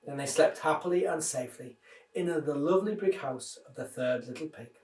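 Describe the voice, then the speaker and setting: A man reading a children's story aloud in an expressive, dramatised way. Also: fairly high pitch, normal speed. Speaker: minister reading children's stories. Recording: roomy echo, distant microphone.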